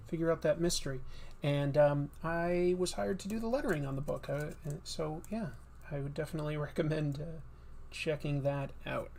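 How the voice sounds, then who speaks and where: Chatty man with a gravelly edge. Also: a little high. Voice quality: slightly nasal. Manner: rambling, chatty, with many fillers and restarts. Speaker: livestream host and comic artist. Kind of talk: casual livestream chat.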